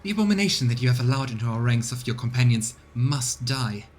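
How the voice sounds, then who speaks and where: A man's voice: medium pitch, animated, quick pace, close to an everyday voice. Man plays fanatical game battle sister, fervent and solemn, slightly raised intensity, background noise. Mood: fervent zeal. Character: frenzied, zealous holy warrior woman.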